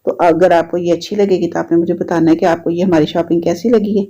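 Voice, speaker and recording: Pakistani urdu lady rambling through her home vlog, bit of a sore throat, mellow voice, muffled lo-fi sound. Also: fairly low pitch, quick pace. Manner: chatty, repetitive, relaxed storytelling. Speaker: vlogger. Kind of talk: casual voice-over narration. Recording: dull lo-fi sound.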